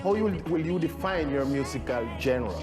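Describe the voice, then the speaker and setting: A man's voice: fairly high pitch, animated, normal speed. A man co-hosting a music interview, asking his question hesitantly with restarts. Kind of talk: interviewing a guest.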